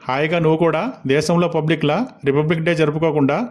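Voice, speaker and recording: A man acts a mocking Tamil soldier for a comedy cartoon. His delivery is quick and blunt, in a slightly high voice. There is clear noise behind it. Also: level tone, lightly acted. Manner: teasing, sarcastic. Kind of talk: comic cartoon dialogue.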